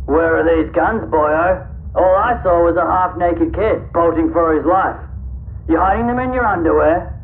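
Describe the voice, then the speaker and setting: An actor playing a taunting, suspicious armed interrogator in a sci-fi audio drama, sarcastic and menacing through a radio filter. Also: male voice, on the high side, unhurried. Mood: mocking. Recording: tinny radio sound with faint noise.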